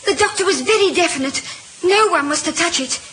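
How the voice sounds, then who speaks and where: A woman's voice: very high voice, a little fast, a bit bright. A woman playing an anxious, respectful young housemaid in an old radio drama, deferential and earnest. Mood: nervous, insistent.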